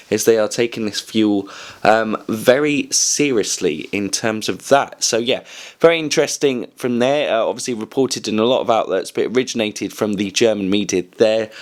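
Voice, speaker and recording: A man hosting a weekly motorsport news show, croaky from a cold, rambling casually with lots of ums and restarts. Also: on the low side. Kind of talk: casual news commentary to camera.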